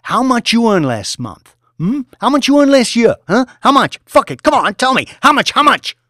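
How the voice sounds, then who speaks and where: A man's voice: on the high side, very animated, moderate pace, no echo, clean studio sound, pitched above the performer's own voice. A man doing a raging, foul-mouthed young boss. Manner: shouting, swearing, aggressive New York street talk. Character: volatile young male finance boss, bullying.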